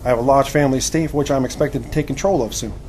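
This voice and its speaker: An actor playing an earnest, courteous young gentleman recruit of the 1870s in an audio drama. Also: medium pitch, very fast, a little hiss or hum, close to an everyday voice. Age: 20s.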